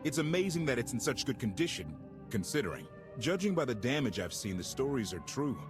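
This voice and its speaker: An actor playing an easygoing, enthusiastic starship pilot in a video game, talking casually. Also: male voice, medium pitch, big pitch swings, a little fast, over music, natural voice. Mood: animated, casual. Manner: casual, conversational. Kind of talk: naturalistic video game acting.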